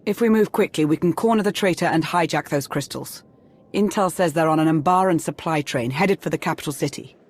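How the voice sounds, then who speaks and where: An actress playing a composed, shrewd intelligence adviser in a video game, with a crisp, purposeful way of briefing. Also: female voice, low voice, brisk, darkish tone. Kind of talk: video game cutscene acting.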